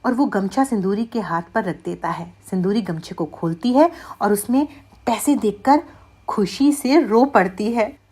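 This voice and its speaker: A woman's voice: mid-range voice, unhurried, a little mellow, slightly rough. A woman narrating a scripted moral story for children as its storyteller.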